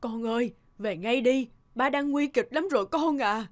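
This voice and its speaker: A woman's voice: a little high, moderate pace, close to an everyday voice. A woman voicing a grieving, devoted middle-aged mother in a cartoon, speaking with sorrowful tenderness. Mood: urgent, distressed. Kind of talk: cartoon dubbing.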